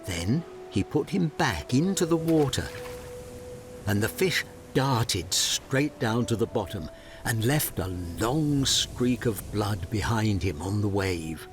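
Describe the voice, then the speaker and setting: A man narrating a fairy tale audio drama in a measured, dramatic storybook style. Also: lowish voice, animated, over music.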